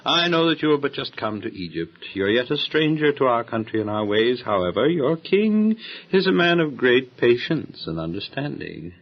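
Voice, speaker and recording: A man's voice: medium pitch, very animated, normal speed. An actor playing a pompous, eager king of ancient Egypt in a theatrical Bible audio drama, with grand and hesitant courtly speech. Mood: smug, courting. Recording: dull lo-fi radio drama recording.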